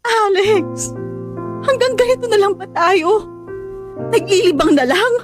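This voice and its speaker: A woman's voice: extremely high pitch, lively intonation, a little slow, over music. An actress voicing a lovelorn young woman in a Filipino radio drama, speaking in an emotional, pleading way. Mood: hurt, pleading. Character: lovelorn young woman, hopeful then hurt and jealous.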